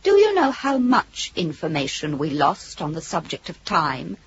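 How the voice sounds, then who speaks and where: Thoughtful haughty ship computer, swooping intonation, muffled old radio play. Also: female voice, low-pitched, wildly swooping pitch, exaggerated voice. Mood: pensive. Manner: measured, philosophical. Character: musing, superior female ship's guardian computer. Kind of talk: 1980s British radio drama acting. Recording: dull old radio recording, faint noise.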